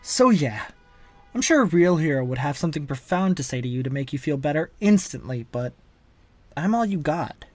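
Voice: mid-range voice, very animated, normal speed, darkish tone. A performer voicing a wisecracking, manic masked antihero in a comic-book dub, flippant and theatrical but caring underneath. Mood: awkward, earnest. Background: background music.

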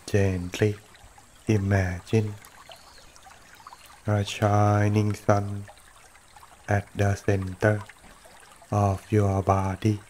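Very slow, monotone man guiding meditation. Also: on the low side, slight background noise. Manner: gentle, unhurried, short phrases with long pauses. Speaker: meditation teacher. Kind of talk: guided meditation. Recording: running water throughout. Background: trickling stream water.

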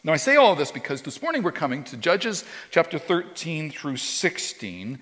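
A middle-aged man preaching from a church stage, his voice pitched a little high for a man and carried by a long hall reverb. He speaks in a loose, conversational way, stumbling into restarts and repeated words as he works through his point. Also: very animated, normal speed, fairly bright tone. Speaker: pastor. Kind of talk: sermon. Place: church stage with a drum kit behind, indoors.

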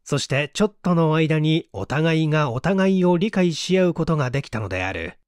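A man's voice: on the high side, lively intonation, fairly quick, clean tone, dry recording, studio quality. A man narrating a literary story as a dramatic reader.

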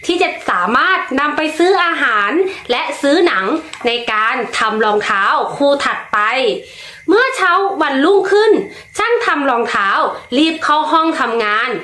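Lady telling a kids' bedtime story, high sing-song voice, bit of room echo. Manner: slow, lilting, expressive storytelling. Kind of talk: children's storybook reading.

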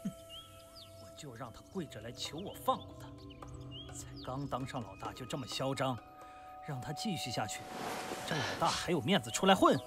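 一名男演员在广播剧中饰演粗鲁嚣张、满口脏话、爱讥讽人的黑帮绑匪头子。. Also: male voice, medium pitch, expressive, a little fast, pauses often, lightly acted. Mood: menacing. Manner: sneering, foul-mouthed, taunting. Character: crude, swaggering male gang boss, kidnapper with a grudge. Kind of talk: Chinese radio drama acting. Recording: music behind the voice.

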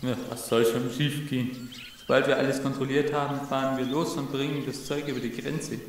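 A man playing a gruff, greedy smuggler in a children's audio drama. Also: medium pitch, little pitch movement, brisk, lightly acted. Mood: confident. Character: gruff male smuggler crook, irritable, greedy. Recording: echoey, distant, faint noise.

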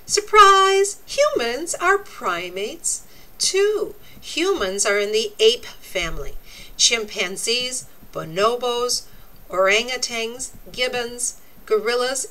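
A woman reading a nonfiction book aloud for young children, with deliberate, expressive, teacherly phrasing and explanatory asides. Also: a little high, rough recording.

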